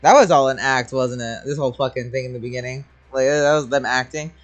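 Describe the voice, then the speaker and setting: A game streamer reacting in casual chat, expressive and slangy with some swearing. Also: medium pitch, fast talker, highs cut off. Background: faint game music.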